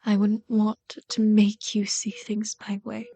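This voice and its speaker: Girl doing a obsessed villain lady for asmr roleplay, real slow n intense, kinda whispery, bitter and begging. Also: medium pitch, monotone, dark tone. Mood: resigned. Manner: slow, intimate, dramatic delivery, often dropping to a whisper.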